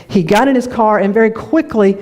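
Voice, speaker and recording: A woman from North Carolina, a minister giving a lively, conversational sermon in a church, laughing often as she tells stories. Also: medium pitch, a little fast. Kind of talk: sermon with storytelling. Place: church sanctuary.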